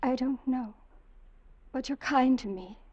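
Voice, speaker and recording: An actress playing a fragile, haunted, devoted wife in a halting, breathy 1940s radio melodrama style. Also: female voice, high-pitched, darkish tone, muffled recording. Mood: soft, fragile. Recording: old 1940s radio broadcast.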